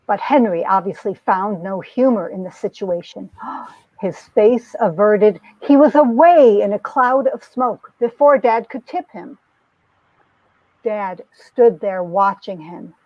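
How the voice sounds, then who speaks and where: A woman's voice: mid-range voice, dry recording. A woman playing an earnest young heroine telling her troubled story in a theatrical, emotive romance-comic reading. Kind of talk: dramatic comic-book reading, melodramatic first-person narration. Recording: dull, lo-fi recording.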